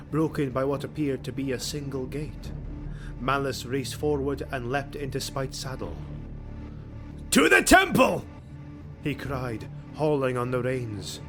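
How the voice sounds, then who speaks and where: A man reading a fantasy audiobook as a dramatic narrator, with a grave, theatrical delivery. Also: medium pitch. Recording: music bed under the voice. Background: background music.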